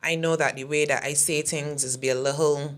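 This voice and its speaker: A woman with a Barbadian accent and a deep, raspy voice, talking bluntly as a comedian guest in a casual interview. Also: steady tone, fairly quick. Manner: blunt, aggressive tone.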